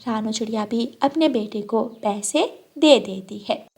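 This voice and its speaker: A woman's voice: a little high, unhurried, darkish tone, husky. A woman reading a scripted narration for a children's story.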